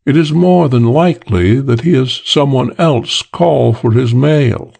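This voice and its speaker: A man voicing an earnest, formal client in an audiobook, speaking deliberately and emphatically. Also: mid-range voice, gravelly, darkish tone. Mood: measured, regretful. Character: earnest businesslike man hiring a detective to find someone.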